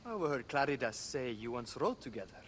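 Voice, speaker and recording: A man's voice: medium pitch, big pitch swings, fast talker, a bit bright. A man playing a polite, eager young son of a wealthy patron in a video game cutscene. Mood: courteous, eager.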